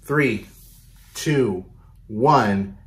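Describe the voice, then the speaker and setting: Man reading a picture book to children. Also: fairly low pitch. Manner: instructive, pausing to question and explain to young listeners. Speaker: children's storytime reader. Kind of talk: animated picture-book read-aloud with asides to children. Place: small bedroom, indoors.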